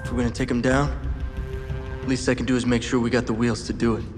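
A man's voice: medium pitch, flat delivery, fast, lightly acted. A man playing a guilt-ridden but resolute young American street racer in a game cutscene, plain-spoken and brooding. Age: young adult. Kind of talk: dramatic game cutscene dialogue. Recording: hall reverb, loud music behind.

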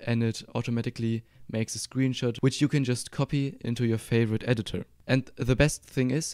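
German-accented man teaching Blender, crisp close voice. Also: medium pitch, darkish tone, no echo. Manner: upbeat, explanatory, slows down for instructions. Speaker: Blender tutorial YouTuber. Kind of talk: screen-recorded tutorial voice-over.

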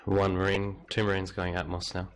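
A man's voice: low-pitched, monotone, a little fast. A blunt man making casual callouts to his team over gaming voice chat. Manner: blunt, swearing. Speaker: team player. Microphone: gaming voice chat microphone. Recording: faint noise on voice chat.

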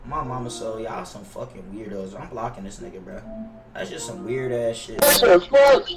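A man's voice: mid-range voice, sing-song, normal speed, reverberant, recorded from a distance, over music. A young American man in his twenties chatting casually on a gaming livestream, profane, slangy and repetitive in his griping. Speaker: game streamer. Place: indoor home gaming room. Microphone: headset mic.

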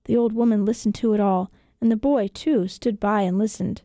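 A woman's voice: medium pitch, steady tone, normal speed, mellow, dry recording. A woman reading a children's story as a scripted narration, recorded in a radio studio.

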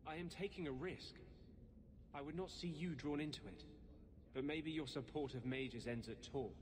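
An actor playing an intense, secretive rebel mage in a video game, speaking in a grave, earnest, insistent way. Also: male voice, on the high side, measured pace, background noise, close to an everyday voice. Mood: insistent, fervent. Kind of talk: dramatic video game acting. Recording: game audio with clear background ambience.

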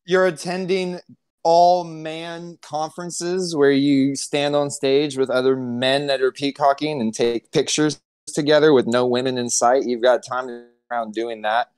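A man's voice: mid-range voice, steady tone, unhurried, a little mellow, clean tone, dry recording. A man co-hosting a podcast in casual comedic chat, with a sarcastic, profane, rambling way of talking. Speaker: podcast co-host.

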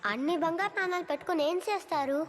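A woman's voice: very high voice, fast. A woman voicing a curious, innocent young child asking questions in a cartoon bedtime story. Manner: inquisitive, innocent. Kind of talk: animated moral story dialogue. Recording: music behind the voice.

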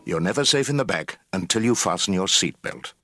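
A man's voice: sits low, animated, fairly quick. A man giving grave narration for a public safety film. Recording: old film soundtrack, loud music. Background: loud music.

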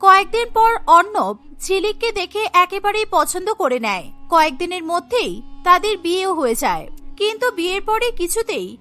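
A woman narrates a cartoon story from a script. Her voice is high and bright, with faint music behind it. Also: sing-song, a little fast.

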